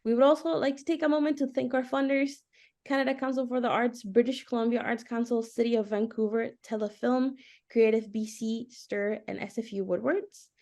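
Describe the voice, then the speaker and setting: A woman's voice: on the high side, fairly even intonation, normal speed, a little mellow. A woman who is a festival managing director, opening an online panel in an upbeat, formal announcing manner over a video call. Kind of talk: scripted event introduction.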